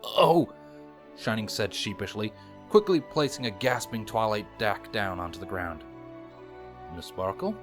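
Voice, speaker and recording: A man's voice: on the low side, animated, normal speed, a bit bright. Man narrating a story over faint music. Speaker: narrator reading fan fiction. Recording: music playing behind the voice.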